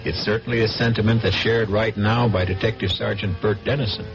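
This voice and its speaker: A man hosting and narrating a 1970s radio mystery with deliberate, ominous delivery and dramatic pauses. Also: fairly low pitch, steady tone. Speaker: host and narrator. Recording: muffled 1970s radio broadcast. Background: music.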